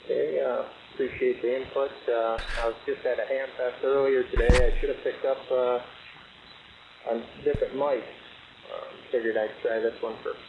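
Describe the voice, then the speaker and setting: A man's voice arrives over a narrow radio channel with clear static. He speaks with little pitch movement, in short casual transmissions as a newcomer to ham radio. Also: steady tone, moderate pace. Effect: received over shortwave radio. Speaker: beginner amateur radio operator. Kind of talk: brief casual radio chat.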